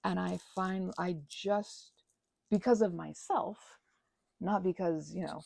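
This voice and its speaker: Woman, thirties, lowish pitch, mellow tone, casual rambling crafter chat, wry self-deprecation. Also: takes it slowly, gravelly, no room sound, clean studio sound. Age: 30s. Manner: self-deprecating, sarcastic, digressive. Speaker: crafting vlogger and ASMR creator.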